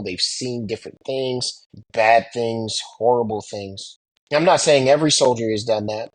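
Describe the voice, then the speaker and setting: Husky American man telling personal stories. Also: medium pitch, no echo, poor audio. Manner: emphatic, repeats phrases and poses rhetorical questions. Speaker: military veteran and pilot sharing experiences. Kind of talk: anecdotal storytelling about personal experience.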